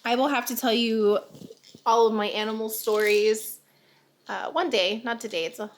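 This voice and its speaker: A woman's voice: fairly high pitch, moderate pace. A young woman vlogger, chatting casually and rambling, a bit nasal and congested, laughing often. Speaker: vlogger, stay-at-home mom. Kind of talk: casual chat to camera.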